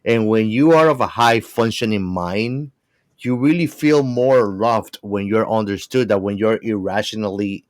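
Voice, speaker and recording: A man speaking earnestly and emphatically in a casual podcast discussion, musing philosophically with slightly halting, non-native phrasing. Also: lowish voice. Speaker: podcast panelist.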